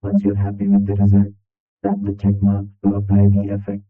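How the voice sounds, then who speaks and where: A man's voice: lowish voice, monotone, fairly quick. A man narrating a scripted tutorial through a robot voice filter. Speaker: tutorial presenter. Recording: phone-like band, echoey, distant, faint music.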